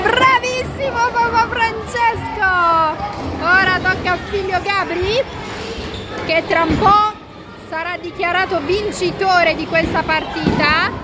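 A woman filming a family game on her phone, cheering and giving a playful running commentary as she announces the scores. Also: extremely high pitch. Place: busy indoor bowling alley. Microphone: handheld phone camera. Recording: loud crowd and music behind.

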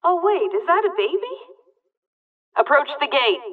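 A woman voicing a firm, wary sentry in a video game, folksy and commanding, through a radio or loudspeaker filter. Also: sits high, very animated, unhurried. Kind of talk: dramatic video game dialogue. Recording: tinny, distant loudspeaker-like band.

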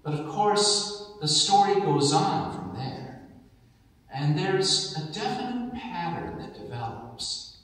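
An American man in his sixties preaching a sermon as a pastor, with measured, deliberate phrasing and pauses. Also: high-pitched, big pitch swings, fairly bright tone. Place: large church sanctuary, indoors at the altar. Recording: echoey church hall, distant microphone.

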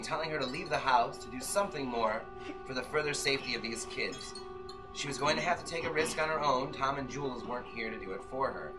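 A man in his thirties presents his work as an author in a bookstore. He is heard from a distance on a camera microphone, with music clearly playing behind his voice. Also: medium pitch. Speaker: author reading from own novel. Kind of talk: informal talk and dramatic novel reading. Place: bookstore, indoors, small live reading. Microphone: far camera among the audience.

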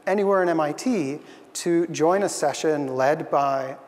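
A man's voice: on the high side, unhurried, darkish tone, big-room sound. A young man giving an earnest, thoughtful conference talk on a stage, with frequent fillers. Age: young adult. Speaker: conference speaker. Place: stage in a large hall.

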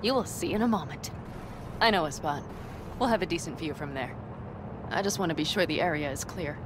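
A woman's voice: deep voice, fairly quick, close to an everyday voice. An actress playing a headstrong, sardonic nomad woman in a video game, blunt and commanding. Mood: brisk. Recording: clear vehicle and outdoor noise.

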